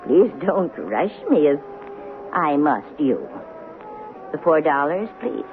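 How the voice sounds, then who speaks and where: An actress playing a sly, sweet-talking old church lady in her eighties in a radio drama, genteel, coy and gently teasing. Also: low voice, very animated, takes it slowly, soft background music, full character voice. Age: 80s. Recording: muffled, noisy 1970s radio broadcast.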